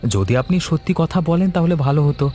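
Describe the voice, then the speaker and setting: A man's voice: on the high side, big pitch swings, fast, dark tone, faint music behind. A man voicing an earnest, practical village fisherman in a cartoon ghost story. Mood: nervously polite. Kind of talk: animated ghost story dialogue.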